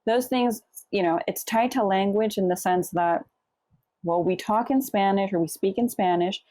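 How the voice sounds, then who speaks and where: A woman's voice: mid-range voice, moderate pace, mellow, no room sound. A young woman from Puerto Rico, an author guest, talking reflectively in a casual interview and sometimes searching for words.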